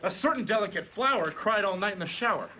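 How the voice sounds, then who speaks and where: An actor playing a sensitive, exasperated best friend with emphatic delivery in a sitcom table read. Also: male voice, high-pitched, lively intonation, fast, bright, forceful tone. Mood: indignant. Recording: live room with faint noise.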